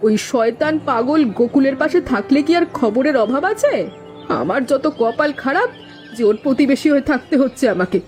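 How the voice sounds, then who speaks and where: A spiteful village housewife from a cartoon, acted by a woman. She talks fast in a high voice, scolding and accusing with a hot temper. Faint music plays behind her. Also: expressive. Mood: indignant gossip. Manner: sharp-tongued, scolding, accusing. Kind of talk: cartoon voice acting.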